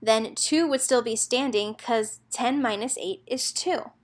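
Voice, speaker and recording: Woman, high voice, storybook narrator, rhythmic expressive read-aloud for children. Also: measured pace.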